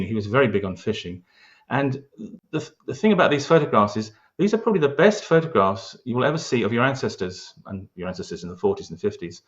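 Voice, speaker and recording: A man's voice: medium pitch, fairly bright tone, dull audio. A man with a London accent, talking earnestly about local history in an interview, with frequent restarts and hesitations. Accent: London English. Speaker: local historian, award winner.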